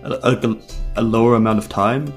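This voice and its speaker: A man's voice: medium pitch, fairly even intonation, normal speed, natural voice. An earnest young adventurer, played by a man in a tabletop fantasy game. He is polite and awkward, feeling his way through questions in a hesitant, stumbling way over a music bed. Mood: earnest, uncertain.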